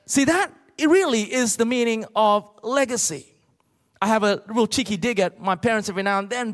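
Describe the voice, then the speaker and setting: Male preacher, middle-aged, Australian accent. Notably high voice, emphatic comic storyteller, big reverberant hall. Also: fairly bright tone. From Australia, born in Malaysia. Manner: emphatic, rhetorical questions to the congregation. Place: large church auditorium, speaking to a congregation.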